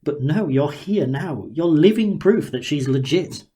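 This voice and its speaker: A man voicing an excitable, sneering, slightly unhinged inventor in audiobook dialogue, taunting and full of questions. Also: fairly high pitch, unhurried, voice pushed up. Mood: excited, triumphant.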